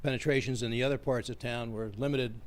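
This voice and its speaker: A man's voice: mid-range voice, steady tone, fast talker. A man presenting a report at a public meeting and explaining things informally. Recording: faint background noise in meeting room.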